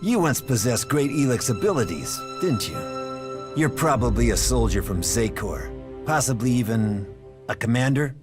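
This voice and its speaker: An actor playing a cold, logical renegade soldier in a video game, speaking formally and with measured menace. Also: male voice, medium pitch, animated, natural voice. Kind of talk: video game dialogue acting. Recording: music under the voice.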